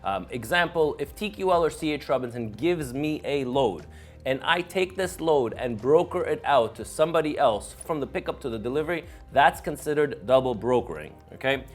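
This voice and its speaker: Measured man explaining, soft music behind. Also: mid-range voice, expressive. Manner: measured, explanatory, repeats okay. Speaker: trucking company owner and host. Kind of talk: reacting to a recorded call.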